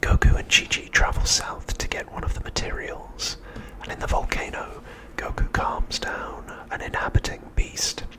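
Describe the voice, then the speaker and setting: A man speaks entirely in a breathy whisper, with no voiced tone at all. He narrates like an ASMR host recapping a story, keeping everything soft, hushed and unhurried. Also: low-quality recording.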